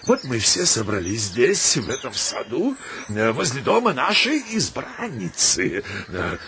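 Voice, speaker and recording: A man's voice: medium pitch, huge pitch swings, a little slow, background noise. A man playing a pompous, bossy suitor and amateur poet in a comic radio play, declaiming theatrically with hesitant exclamations. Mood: pompous, excited. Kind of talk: comic stage farce acting for radio.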